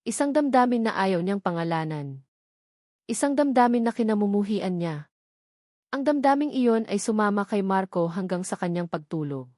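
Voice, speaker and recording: A woman's voice: medium pitch, lively intonation, moderate pace, warm tone, no room sound. Filipino woman narrating a romance, dry sound. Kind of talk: scripted dramatic romance story narration.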